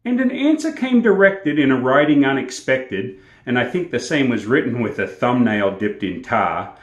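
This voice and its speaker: A man's voice: medium pitch, very animated, moderate pace, lo-fi sound. An Australian man in his sixties hosting a storytelling show, reciting and chatting to camera. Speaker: host and storyteller. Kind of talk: poetry recitation and casual talk to camera. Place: small indoor room with plain walls, echoey. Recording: echoey indoor room.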